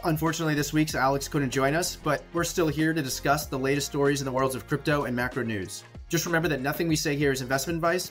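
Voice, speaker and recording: A man's voice: fairly high pitch, fairly bright tone. Casual male podcast host, faint music.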